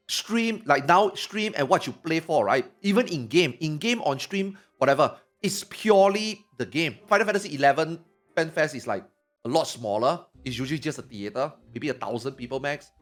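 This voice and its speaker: A man in his forties, a streamer, talking fast and excitedly in a casual podcast chat, often repeating himself. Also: a little high. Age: 40s.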